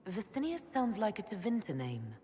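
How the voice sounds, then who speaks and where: A woman's voice: mid-range voice, very animated, normal speed, dark tone. An actress playing a confident female adventuring leader in a video game, dry and decisive. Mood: wry, probing. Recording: clear background ambience noise.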